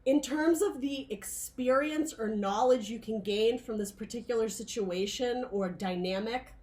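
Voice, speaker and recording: Tarot reader, woman, higher voice, dry worn throat, loose rambling delivery. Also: measured pace, a little mellow. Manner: rambling, searching for words, frequent "like" and "um". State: dry, losing her voice. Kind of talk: casual tarot reading monologue.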